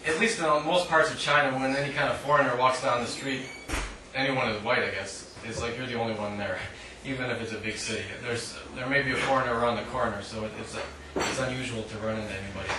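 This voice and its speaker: A man giving a live poetry reading in a measured, declamatory way with dry humour, adding short spoken asides. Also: medium pitch, steady tone. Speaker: poet reading own work. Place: indoor literary cafe reading. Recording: echoey live room, distant mic, faint noise.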